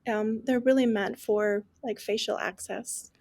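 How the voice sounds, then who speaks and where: Earnest woman guest, fairly high voice. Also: fairly even intonation, a little slow, a little mellow. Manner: earnest, restarts often, many "like" and "um". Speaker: guest expert on deaf education. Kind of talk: conversational interview.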